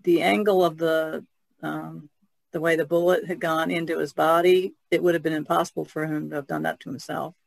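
Halting gravelly-voiced woman. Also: low voice, flat delivery, no echo, low-quality recording. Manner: hesitant, halting with fillers and small laughs. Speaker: podcast guest. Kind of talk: casual discussion.

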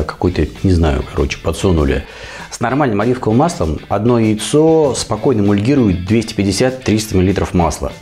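A man in his forties, hoarse with a cold, giving casual, colloquial recipe instructions as a cooking show host. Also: fairly low pitch, a little mellow. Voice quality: hoarse, husky. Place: home kitchen. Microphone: lavalier clipped to T-shirt. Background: guitar music.

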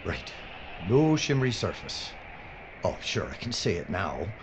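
A man playing a flippant, complaining documentary presenter in an audio drama, animated in manner. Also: low voice, measured pace. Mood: sheepish, easygoing. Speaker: actor in radio drama. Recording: muffled, noisy ambience.

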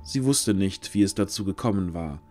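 A man narrating a scripted fantasy audio drama in a measured storyteller's delivery with deliberate pauses. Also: low-pitched, lively intonation, mellow. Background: background music.

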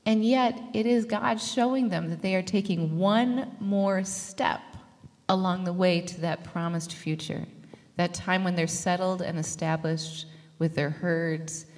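A woman's voice: lowish voice, unhurried. Woman pastor in her 30s preaching, jokes around, handheld mic, long church echo. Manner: lively, gestures broadly, jokes and laughs with the congregation. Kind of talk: sermon. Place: church sanctuary. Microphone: handheld microphone. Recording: long reverb of a church hall.

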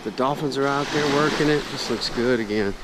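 A man's voice: medium pitch, brisk, heavy background noise. A middle-aged man hosting a fishing show, narrating on the spot with enthusiastic exclamations, outdoors on a beach. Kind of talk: excited on-the-spot vlog narration. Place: outdoors on an ocean beach at dawn. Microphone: action camera worn on the body.

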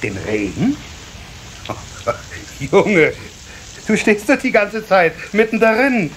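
An easygoing, good-humoured water-sprite father from a children's radio play. He explains things with amusement and a very animated melody. The cassette recording has a faint hiss. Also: high-pitched, slow, full character voice, low-quality recording. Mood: amused.

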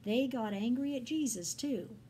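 A woman's voice: fairly high pitch, expressive, normal speed, mellow, a little hiss or hum, voice pushed up, close to an everyday voice. A woman voicing a blunt, earnest male preacher in a story, with emphatic delivery. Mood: defensive, earnest. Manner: emphatic, protesting. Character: fiery, stubborn male evangelist Paul, blunt and earnest.

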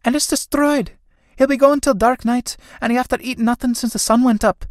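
A woman's voice: fairly high pitch, a little fast, no room sound, clean studio sound, pitched above the performer's own voice, lightly acted. A woman playing a worried young Irish girl, a younger sister in a stage drama, earnest and plain-spoken. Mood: dismayed.

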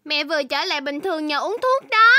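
A woman's voice: sits high, expressive, a little fast, bright, forceful tone, dry recording, clean studio sound, full character voice. A woman voicing a young baby shark in a children's cartoon, sweet, earnest and easily frightened, speaking straight to young viewers. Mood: earnest, pleading. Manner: exclamatory, addresses young viewers directly.

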